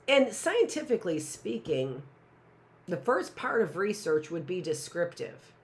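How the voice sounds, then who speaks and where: A woman's voice: on the low side, sing-song, a little slow. A middle-aged woman teaching a live online class, rambling with sarcastic asides and talking to herself.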